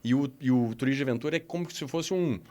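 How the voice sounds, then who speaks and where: A man's voice: mid-range voice, fast, a little mellow. A middle-aged man from Minas Gerais with a Minas Gerais accent, a podcast guest telling his story reflectively, with many restarts. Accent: Minas Gerais Portuguese. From Minas Gerais, Brazil.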